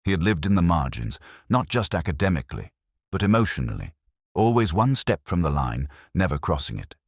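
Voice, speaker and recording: A man playing a melancholy, reflective police inspector, narrating an audio drama in slow, measured, solemn literary prose with deliberate pauses. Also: low voice, very animated, husky, no room sound, studio quality, heavily acted. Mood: wistful. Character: melancholy, reflective male police detective inspector telling his story.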